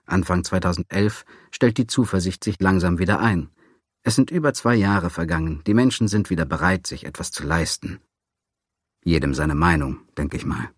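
Deep male narrator, sardonic and dry, close dry mic. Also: husky, no room sound. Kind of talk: audiobook narration of a memoir.

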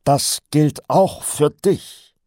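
A man voicing a weary, reluctant older watch sergeant in an audiobook, husky and grudging, with some bluster. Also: medium pitch, lively intonation, normal speed, no echo, clean studio sound, pitched above the performer's own voice. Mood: grimly commanding. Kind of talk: audiobook character voice.

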